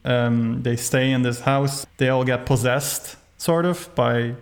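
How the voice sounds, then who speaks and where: Wry man with a creaky voice. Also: medium pitch, slow. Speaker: movie podcast co-host. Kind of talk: casual movie discussion.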